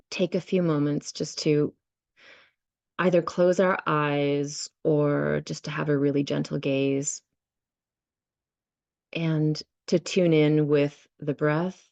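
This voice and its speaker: A woman leading a guided visualization and meditation, speaking gently and unhurriedly in short phrases with many pauses. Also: deep voice, gravelly, no echo. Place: online video session.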